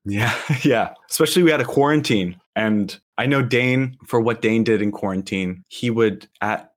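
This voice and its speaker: Chatty actor guest, lower voice. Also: male voice, normal speed. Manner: chatty, many "like" fillers, laughs easily. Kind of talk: casual interview chat.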